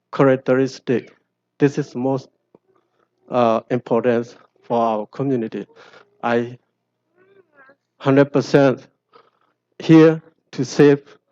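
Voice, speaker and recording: A man, a father giving a hesitant public comment in halting English full of fillers. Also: mid-range voice, darkish tone, clear, resonant tone, no room sound, highs cut off. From Burma. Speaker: parent speaking at public hearing.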